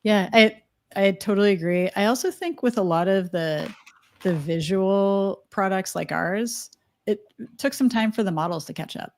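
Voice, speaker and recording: A woman guest on a live stream, talking fast with many fillers and restarts. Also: medium pitch. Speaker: guest from a web design company. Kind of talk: casual live-stream interview.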